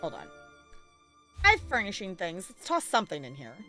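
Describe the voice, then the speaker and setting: Lively girl streamer chatting while gaming, voice swoops all over, faint music behind. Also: fairly low pitch, a little fast. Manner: animated, exclaiming, chatty. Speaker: live game streamer. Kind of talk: casual live stream chat with gameplay commentary.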